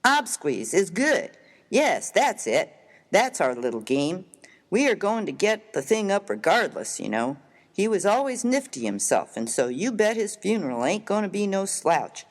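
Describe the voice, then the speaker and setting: Man playing a rough, animated Western miner, echoing hall. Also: high-pitched, normal speed. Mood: earnest, pleased. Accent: American. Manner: folksy, slangy, emphatic, expressive. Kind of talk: dramatized reading of a humorous story. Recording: reverberant hall-like room.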